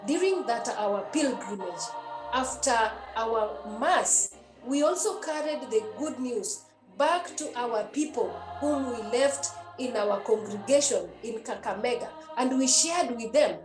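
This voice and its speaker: Nun, high voice, earnest and repetitive preaching to youth; roomy echo, faint music underneath. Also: female voice, lively intonation, a little slow. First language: a Kenyan language. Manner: earnest and repetitive, with many hesitations. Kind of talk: exhortation to young people.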